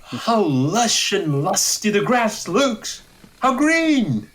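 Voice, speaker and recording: A man's voice: high-pitched, sing-song, extremely slow, fairly bright tone, a little hiss or hum, heavily acted. A man playing a kindly, optimistic, long-winded old courtier in a Shakespearean audio drama, wordy and earnest. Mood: earnest cheer.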